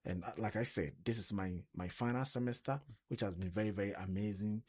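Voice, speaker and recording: A man's voice: on the low side, fairly quick, a little mellow, husky. A young man with a Ghanaian accent, talking earnestly on a radio show and often restarting with "I mean". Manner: earnest, repeats words and uses many "I mean" fillers. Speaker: co-host. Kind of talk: casual radio interview.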